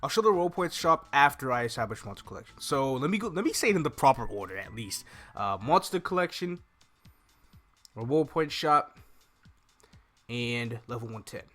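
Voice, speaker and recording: A young man with a mid-pitched voice hosts a casual gaming walkthrough. He talks in an animated, exclaiming way into a close desktop microphone, with game music playing behind him. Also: normal speed. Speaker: gaming video host. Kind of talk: casual gameplay walkthrough commentary. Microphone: desktop condenser microphone close up.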